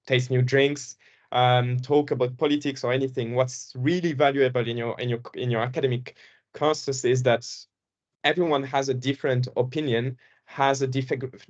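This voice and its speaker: A man's voice: mid-range voice, highs cut off. A young man from Belgium whose first language is French, giving a casual presentation at an information session as a former student, often repeating and restarting his words.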